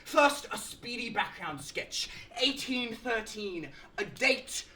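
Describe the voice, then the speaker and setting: A pompous, aggrieved Australian lecturer played by a teenage male student actor declaims in florid, oratorical style. The voice is bright and forceful. It rings in a roomy, echoing stage space, as in a theatrical monologue. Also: very high-pitched, animated, slow, heavily acted. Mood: pompous, formal. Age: teens. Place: indoor school drama showcase, filmed performance. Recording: roomy echoing stage, faint noise, clicks.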